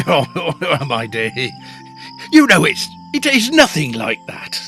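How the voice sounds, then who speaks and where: An actor playing a nervous, evasive husband in a period ghost story, hesitant, fussy and defensive, often stammering. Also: male voice, fairly high pitch, huge pitch swings, slow, sharp tone, soft background music, full character voice. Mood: flustered, defensive. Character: nervous, evasive husband, anxious to flee haunted house. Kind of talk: period ghost story audio drama acting.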